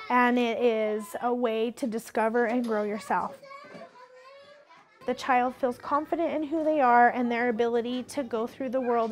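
An early-childhood educator explaining things with a few fillers. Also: mid-range voice. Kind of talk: interview statement.

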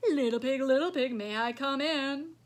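A woman's voice: sits high, normal speed, natural voice. A woman voicing a menacing, growling big bad wolf from a children's storybook. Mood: loud menacing.

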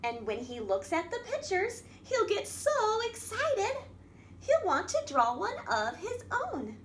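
Storytime lady reading to little kids, really high singsongy voice, slow, bit echoey w some background noise. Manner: expressive, sing-song, addressing young children with questions. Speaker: children's librarian, storytime host. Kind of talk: picture-book read-aloud for young children. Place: indoor room at a wooden table by a window. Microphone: camera across the table.

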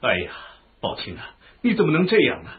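A man's voice: fairly high pitch, huge pitch swings, fairly quick. An actor playing a forgiving male ruler who reassures his general and takes the blame himself in a radio drama. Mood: reassuring. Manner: warmly reassuring, insistent. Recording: tinny phone-like audio.

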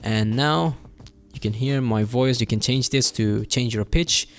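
A young man giving an informal, easygoing and enthusiastic software tutorial, speaking close into a desk microphone. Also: medium pitch, unhurried, soft background music. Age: young adult. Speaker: tutorial host. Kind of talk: casual screen-recorded software tutorial. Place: home bedroom setup indoors. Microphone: close desk microphone on boom arm.

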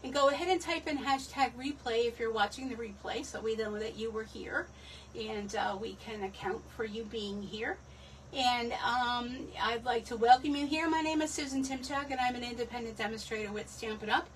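A woman's voice: fairly high pitch, moderate pace. A middle-aged woman losing her voice, hosting a live craft stream from her home desk in a chatty, rambling, self-deprecating way. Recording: distant from the mic, faint room noise.

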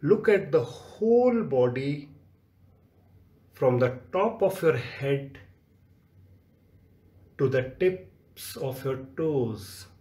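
Male meditation guide, extremely slow delivery with long pauses between short phrases, soft-edged mellow tone, roomy echo. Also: a little high, expressive. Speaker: yoga nidra instructor. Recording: echoey, roomy sound.

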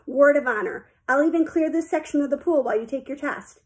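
Woman as an encouraging, high-voiced male swim teacher. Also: fairly quick, close to an everyday voice. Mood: earnest. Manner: urging, coaxing, emphatic.

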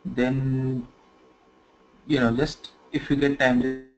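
A man teaching software configuration in a screen-recorded tutorial, talking conversationally and filling pauses with "you know". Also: mid-range voice, flat delivery. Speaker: software trainer. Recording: slightly dull lo-fi screen recording with faint noise.

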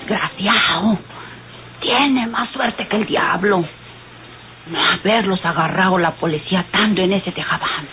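A woman's voice: medium pitch, unhurried, over music, close to an everyday voice. An actress playing a sly, resentful woman who grumbles and mutters in an old radio western. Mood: bitter grumbling. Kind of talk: old Mexican radio soap acting. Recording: tinny, noisy old radio recording.

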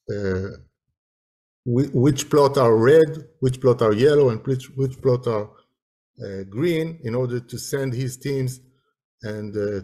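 A man presents slides on a video call. His pitch is average and his pace is very slow. He speaks haltingly, feeling his way through each phrase. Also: darkish tone. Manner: halting, hesitant delivery.

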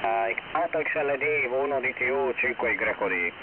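A man's voice: mid-range voice, animated, measured pace. A man speaking as an amateur radio operator in a casual technical exchange over a radio transmission. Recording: thin narrowband radio, very heavy hiss.

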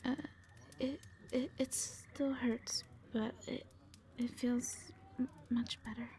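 A woman playing a shy, frightened, wounded owl beast-woman in an audio drama, stammering timidly in a soft, breathy, often whispering voice. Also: on the high side, level tone, slow pace, a little hiss or hum. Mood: timid, grateful. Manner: timid, heavily stammering, hesitant. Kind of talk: audio roleplay drama acting.

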